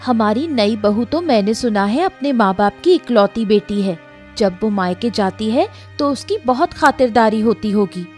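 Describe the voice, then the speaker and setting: A woman's voice: a little high, lively intonation, moderate pace, darkish tone, soft background music, close to an everyday voice. A woman playing a disenchanted married woman in an acted story, plaintive and gossipy as she confides in a neighbour. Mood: disenchanted, complaining. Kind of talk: acted Hindi audio story dialogue.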